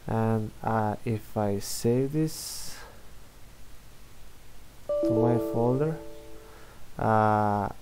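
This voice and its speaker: A young man from Serbia with a Serbian accent, a software developer walking through a screen-recorded demo in halting English full of fillers. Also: fairly low pitch, over music, rough recording. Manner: halting, many fillers and restarts.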